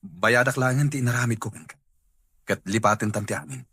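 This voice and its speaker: A man's voice: on the low side, lively intonation, fairly quick, bright, forceful tone, slightly rough, no room sound, clean studio sound, full character voice. A man with an Ilocano accent playing a troubled, guilty married man in a radio drama.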